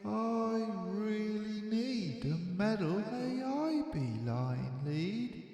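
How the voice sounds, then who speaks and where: A man's voice: sits high, animated, extremely slow, mellow, faint music behind, pitched above the performer's own voice, full character voice. A man voicing a whiny, demanding little bear cub at bedtime, plaintive and drawn out, with a long echo on the voice. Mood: plaintive, pleading.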